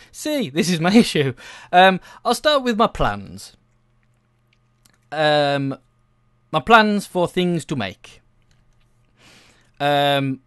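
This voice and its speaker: Slow-talking, hesitant man chatting casually. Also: on the high side, fairly bright tone, clear, resonant tone, no room sound, studio quality. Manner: hesitant, self-deprecating, giggly. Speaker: gaming video creator. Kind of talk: casual rambling chat over gameplay.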